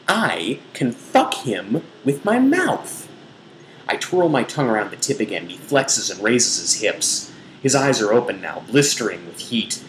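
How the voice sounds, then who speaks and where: Echoey low-voiced man reading dramatically. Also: a bit bright. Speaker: blogger reading aloud. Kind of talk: dramatic reading of an erotic novel. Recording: roomy echo, faint background noise.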